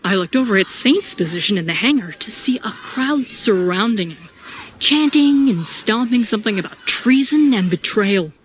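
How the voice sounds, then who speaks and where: Woman playing brooding sci-fi soldier, first-person audio drama narration, medium pitch, clear background noise. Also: expressive, moderate pace, natural voice. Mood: tense recounting. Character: troubled, brooding sci-fi soldier recounting missions.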